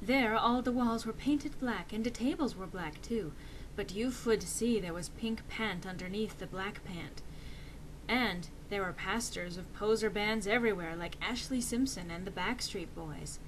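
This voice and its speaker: A woman's voice: medium pitch, unhurried, slight background noise, poor audio. A woman reading fan fiction aloud as a dramatic, mock-serious narration with theatrical emphasis.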